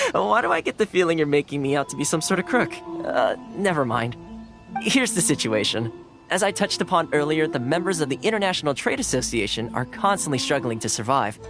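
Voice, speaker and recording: A man's voice: on the high side, sing-song, a little fast, over music. A man playing an affable, wry young fixer in a video game, easygoing and persuasive as he talks. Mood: amused, persuasive. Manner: smooth-talking, easygoing, persuasive. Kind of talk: video game dialogue acting.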